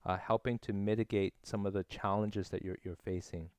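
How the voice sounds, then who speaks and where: Stammering low-voiced male interviewer, dry. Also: fairly even intonation, moderate pace, darkish tone, no room sound. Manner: stammering, repeats words while forming questions. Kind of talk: interview questions.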